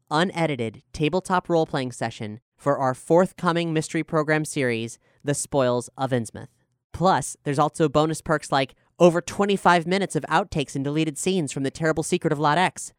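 A man with a fairly high, smooth, clean voice speaks as a podcast host making scripted announcements. The sound is very dry and close, with an easy-to-follow delivery. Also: no echo. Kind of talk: scripted announcement, promotional talk.

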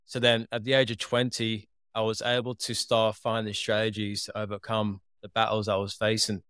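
A young man from Liverpool, now living in Australia, tells his story in a steady, earnest way. His voice is low and clear, with very little rise and fall, and it sits close and dry in the recording. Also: moderate pace, no room sound. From England. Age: 20s. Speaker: podcast guest. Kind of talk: casual interview talk.

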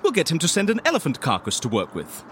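A man's voice: on the high side, wildly swooping pitch, fast, slight background noise. A man playing a polite, eccentric fixer in a comedy audio drama, hesitant and theatrical in his manner. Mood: eager, scheming. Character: eccentric, polite, excitable male fixer interviewing a client. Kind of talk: audio fiction comedy acting.

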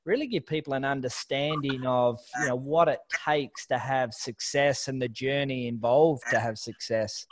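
A man's voice: mid-range voice, fairly even intonation, normal speed, few pauses. A man hosting a podcast, introducing his guest with enthusiasm. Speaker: podcast host. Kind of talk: podcast interview.

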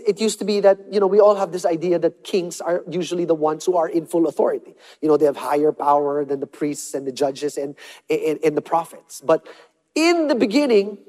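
High-pitched preacher in a reverberant hall. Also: male voice. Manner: emphatic, swings between loud punchy lines and hushed soft ones. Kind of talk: sermon with call-and-response.

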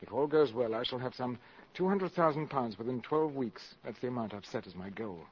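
An actor playing a calculating, ambitious valet in a 1950s radio drama, speaking formally and deferentially but with self-assurance. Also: male voice, mid-range voice, lively intonation, a little fast, husky. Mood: coolly persuasive. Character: smooth, calculating, ambitious valet addressing a countess. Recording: muffled vintage 1950s radio recording.

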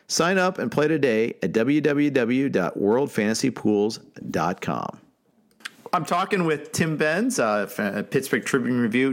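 American guy hosting a sports show, regular mid pitch voice, conversational radio host talk. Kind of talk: casual interview hosting.

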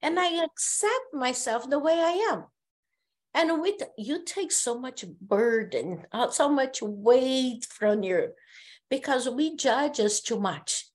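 Higher-voiced Brazilian woman telling stories. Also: expressive. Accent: Brazilian Portuguese. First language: Portuguese. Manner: earnest, storytelling, halting restarts. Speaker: therapist and podcast guest. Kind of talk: casual conversation.

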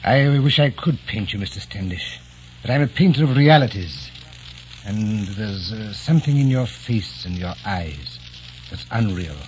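An actor playing a proud, blunt eighteenth-century portrait painter with formal, measured speech in a 1940s radio drama. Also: male voice, on the low side, lively intonation, darkish tone. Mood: troubled. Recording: dull, hissy 1940s radio recording.

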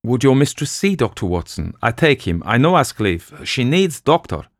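A man's voice: mid-range voice, big pitch swings, moderate pace, no echo, studio quality, voice pushed up. A man reading an audiobook voices a frightened young woman servant who speaks in broken, agitated English. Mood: indignant. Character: frightened young foreign maid, indignant.

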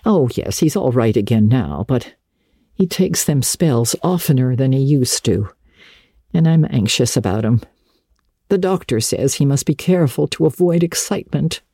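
A woman's voice: rumbling low, expressive, moderate pace, dark tone, breathy edge, dry recording, studio quality, heavily acted. A woman voicing a practical, stern older farm woman, plain-spoken and matter-of-fact, in a storybook reading. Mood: concerned, dry.

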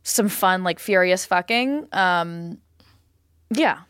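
A woman's voice: lowish voice, animated, takes it slowly, fairly bright tone, clear, resonant tone, dry recording, clean studio sound. A woman in casual comedic podcast talk, retelling things with acted-out quotes. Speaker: podcast panelist.

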